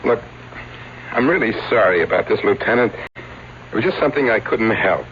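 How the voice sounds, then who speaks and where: A man's voice: fairly low pitch, animated, normal speed, a bit bright. A man playing a defensive showbiz agent explaining a publicity stunt in an old radio drama. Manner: earnest, defensive, showman's patter. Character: fast-talking showbiz agent, defensive and sheepish. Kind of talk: 1970s radio drama acting. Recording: dull old radio broadcast with hiss.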